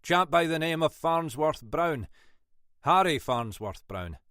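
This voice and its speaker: A man voicing a shrewd older Scottish detective inspector with a dry, brisk, wry manner. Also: on the high side, lively intonation, a bit bright, dry recording, pitched above the performer's own voice. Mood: polite.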